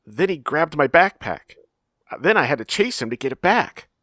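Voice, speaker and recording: A man's voice: fairly high pitch, big pitch swings, normal speed, a bit bright, dry recording. A man playing a scrappy teenage son in an audio drama, cocky yet earnest, talking in an eager, defensive, boyish way. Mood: excited, defensive.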